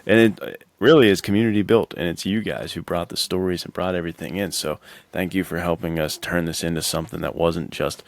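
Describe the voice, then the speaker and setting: Deep-voiced casual man co-hosting a podcast. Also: fairly even intonation, brisk, vocal fry, hardly any pauses, no echo. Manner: enthusiastic, slangy. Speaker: podcast co-host.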